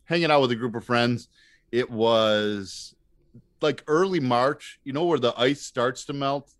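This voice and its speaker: A man's voice: mid-range voice, a little slow, no echo, clean studio sound. A middle-aged man telling stories as a podcast guest in a casual, easygoing, agreeable way. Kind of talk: casual anecdotal chat.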